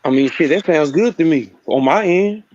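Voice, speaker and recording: A man joining an online call as a guest, loud and taunting with profane trash talk. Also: a little high, lively intonation, normal speed, a little hiss or hum. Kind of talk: casual chat. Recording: dull, dry lo-fi call audio.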